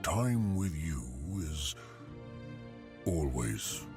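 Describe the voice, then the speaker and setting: An actor playing a gruff, solitary giant forge spirit from a game, with a deep, rumbling voice and a slow, grave, taciturn manner. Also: male voice, big pitch swings, heavily acted. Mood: solemn, quiet. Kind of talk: game character voice acting. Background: loud music.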